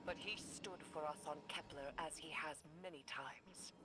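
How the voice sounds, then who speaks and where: A mystical female seer, played by a woman, grave and wise, as in a dramatic game cutscene. The voice is very low and measured, formal in its phrasing, with loud ambience behind it. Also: noisy background, lightly acted. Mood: firm, reproving. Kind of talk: dramatic scripted game acting.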